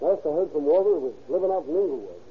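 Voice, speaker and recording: A man's voice: fairly high pitch, big pitch swings, racing, slightly rough, full character voice. An actor playing a cagey, reluctant man being questioned by police in a classic radio drama. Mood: evasive, reluctant. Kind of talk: 1930s-40s radio police drama acting. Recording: muffled, noisy old radio recording.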